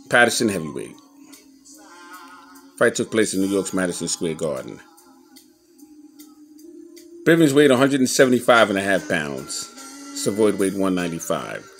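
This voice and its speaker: A man giving a casual show-and-tell commentary as an enthusiastic collector, halting a little as he reads old clippings aloud. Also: fairly low pitch. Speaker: boxing memorabilia collector showing his scrapbooks on video.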